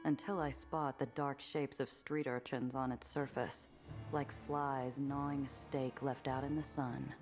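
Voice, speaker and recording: An actress playing a world-weary woman smuggler who narrates her story in a wry, deadpan hard-boiled style. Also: extremely low pitch, a little slow. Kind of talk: hard-boiled first-person game narration. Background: music.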